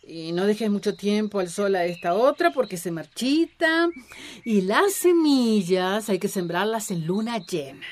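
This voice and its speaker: A woman's voice: medium pitch, big pitch swings, unhurried. An actress playing a kindly, fussing village woman who knows plants, in a radio drama.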